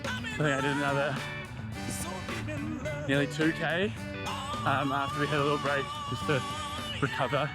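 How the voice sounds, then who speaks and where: A winded man talks between gasps during an outdoor run, his voice pitched in an ordinary middle range. He rambles loosely and keeps stringing thoughts together with "like". Loud music plays under his voice. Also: level tone, normal speed, pauses often. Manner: rambling, self-deprecating, lots of "like". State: out of breath, struggling to breathe. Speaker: running vlogger. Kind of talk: casual vlog talk while running.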